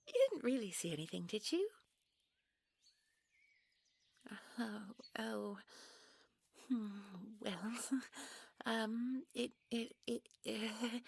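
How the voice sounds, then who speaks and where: A woman playing a gentle, secretive fairy princess in an intimate audio roleplay, with a soft, breathy voice and a genteel, coy, polite manner. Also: a little high, heavily acted. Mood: flustered. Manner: genteel, coy, old-fashioned polite phrasing. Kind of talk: intimate audio roleplay acting, one side of a dialogue.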